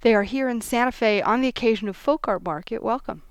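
A woman hosting a radio interview, with a measured, announcer-like delivery. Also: on the high side, smooth, dry recording. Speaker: radio host.

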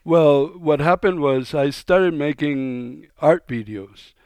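Radio guest, man from Cuba, smooth and resonant, relaxed talk, dry close mic. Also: a little high, slow, dry recording. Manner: relaxed, conversational, with fillers. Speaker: documentary filmmaker guest. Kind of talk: radio interview.

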